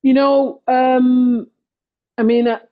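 A woman from England with an English accent, a podcast guest talking things through slowly and thoughtfully with metaphors. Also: high-pitched, level tone, dull audio. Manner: slow, thoughtful, uses metaphors. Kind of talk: reflective conversation.

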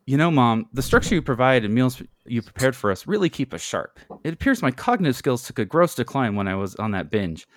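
Man playing smug pompous boy, raised pitch. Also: sing-song, fairly quick, few pauses, no echo, studio quality, lightly acted. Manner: smug, stilted big words. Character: smug, pompous young boy, the bratty brother.

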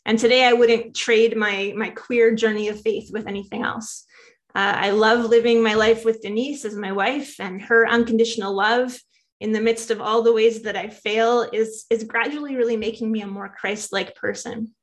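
A woman's voice: a little high, fairly even intonation, moderate pace. A woman pastor telling her own faith story to a church gathering, heartfelt and reflective.

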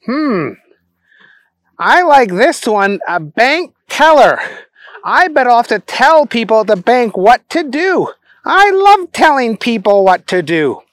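A storyteller voicing a loud, silly, grubby young man who yells in a high, excitable voice with folksy whoops. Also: slow pace, no room sound. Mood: boastful.

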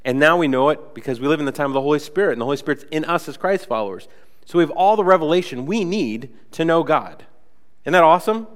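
Chatty young pastor, slightly nasal, reverberant hall. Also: male voice, fairly high pitch, lively intonation, fast talker. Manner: chatty, conversational, many "like" fillers and asides. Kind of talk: casual sermon. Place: church sanctuary.

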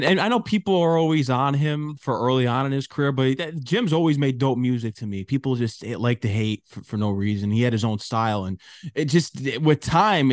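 A man's voice: medium pitch, dry recording, clean studio sound. A man hosting a hip-hop interview show, asking questions in an agreeable, conversational way. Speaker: radio show host.

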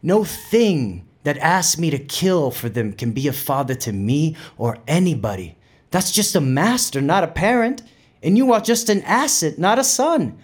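An actor playing a proud, fiery Puerto Rican bandleader from the Bronx, with a New York Puerto Rican accent, in an impassioned audio drama monologue that slips between English and Spanish. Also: male voice, on the high side, big pitch swings, measured pace. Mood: emphatic.

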